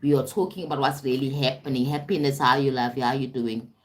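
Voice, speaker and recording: A woman's voice: very deep voice, fairly even intonation, fairly quick. A woman from South Africa who works as a spiritual and life coach, talking casually to viewers on a live stream. She rambles, repeats herself and keeps checking in with "right?". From South Africa (Durban). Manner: rambling and repetitive, often asks "right?" and "you understand?".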